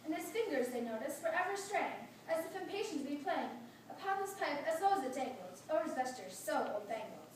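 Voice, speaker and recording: A woman's voice: high voice, sing-song, fairly quick, few pauses. A woman narrating a verse tale as part of a readers theatre performance. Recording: distant, very echoey room, faint noise.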